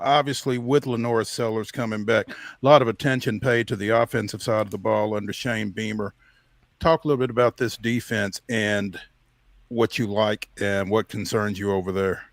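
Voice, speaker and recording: A man's voice: mid-range voice, gravelly, no echo. A man hosting a sports show, introducing and questioning a guest in a casual broadcast style.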